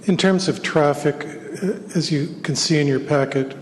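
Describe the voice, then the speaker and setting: A man responding formally and carefully to concerns at a public hearing, with frequent fillers. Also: fairly high pitch. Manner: measured, formal, many fillers. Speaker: project representative. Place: city council chamber. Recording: council chamber reverb with faint noise.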